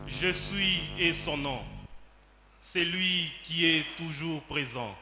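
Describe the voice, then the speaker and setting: A man delivering a religious spoken word poem in a solemn, declamatory way, with deliberate dramatic pauses. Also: a little high, bright, forceful tone, faint music behind. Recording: echoey reverberant hall, faint noise.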